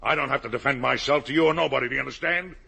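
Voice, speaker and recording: An actor playing a blustering, defensive middle-aged American father in a 1950 radio drama, with a rough, gravelly voice. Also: medium pitch, racing, sharp tone, exaggerated voice. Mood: angry, defensive. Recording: old 1950 radio broadcast.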